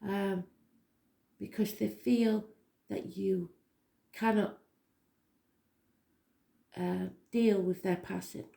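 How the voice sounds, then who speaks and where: A woman with a slightly croaky voice giving a daily tarot reading, talking softly and slowly with many pauses. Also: medium pitch, fairly even intonation, roomy sound. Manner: slow, soft and unhurried, with pauses and fillers. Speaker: tarot reader.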